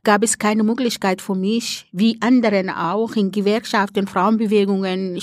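An older woman from Sudan speaks German as a radio studio guest. She talks earnestly and haltingly, often stopping to search for words. The recording is close and dry. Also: mid-range voice, darkish tone, no echo. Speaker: interview guest.

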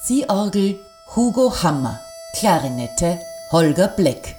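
A woman's voice: lowish voice, sing-song, slow pace, mellow, faint music behind. A woman reading scripted closing credits for a radio play as its announcer.

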